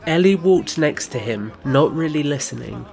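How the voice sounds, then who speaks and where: A man's voice: a little high, expressive, normal speed, gravelly, darkish tone. A man reading scripted third-person narration as the narrator of an audio drama.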